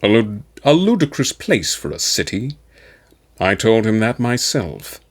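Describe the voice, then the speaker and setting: A man voicing a towering, cold warrior captain general in a sci-fi audiobook, speaking tersely and with measured coolness. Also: lowish voice, big pitch swings, exaggerated voice. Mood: cool, commanding.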